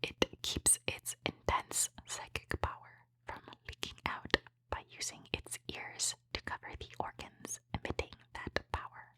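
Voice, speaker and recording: A woman speaking in a breathy, unvoiced whisper, giving gentle, appreciative ASMR commentary as she hosts a trading card opening. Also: measured pace, no room sound, low-quality recording.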